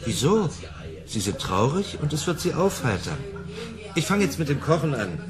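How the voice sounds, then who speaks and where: A man's voice: fairly high pitch, sing-song, normal speed, crowd noise. A man playing a kindly, socially awkward simpleton in a German radio drama, hesitant and earnest. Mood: eager, awkward.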